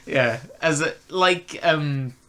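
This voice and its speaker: A man co-hosting a casual fan review chat, speaking in a hesitant, halting way. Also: fairly high pitch, fairly bright tone. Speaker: podcast review co-host.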